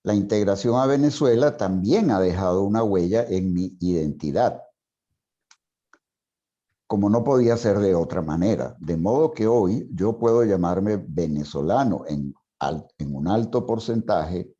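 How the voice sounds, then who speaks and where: Deep-voiced older man from Spain. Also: warm tone. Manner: measured, partly read aloud, with fillers. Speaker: speaker at a psychoanalysis talk. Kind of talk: reading a prepared personal account. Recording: dull lo-fi sound.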